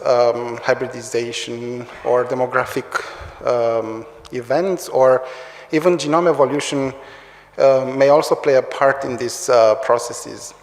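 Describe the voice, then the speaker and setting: A man's voice: mid-range voice, darkish tone. A man with a Romanian accent giving a conference talk, hesitant with many fillers and restarts. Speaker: conference speaker, researcher. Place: large lecture hall. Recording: roomy long hall reverb.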